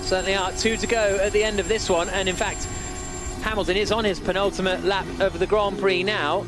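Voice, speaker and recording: High-pitched male race commentator over loud music. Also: fairly even intonation, a little fast. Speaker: lead motor racing commentator.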